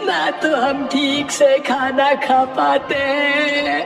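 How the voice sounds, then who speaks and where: A man's voice: extremely high pitch, little pitch movement, drawn-out words, gravelly, reverberant, recorded from a distance, music in the background, heavily acted. A man voicing a pleading, whiny cartoon ghost in a Hindi cartoon story. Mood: whining, miserable. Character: gang of ghosts, whiny, boastful then pleading servants.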